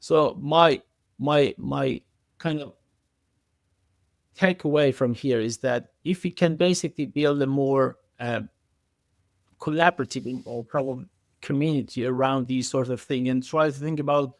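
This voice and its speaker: A man with a medium-pitched voice gives conversational interview answers as an expert guest. He speaks haltingly, doubling back on words as he explains. The sound is dry, with little room on it. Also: dry recording. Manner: halting, repeats words, frequent hedging filler phrases. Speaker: podcast guest, engineering expert.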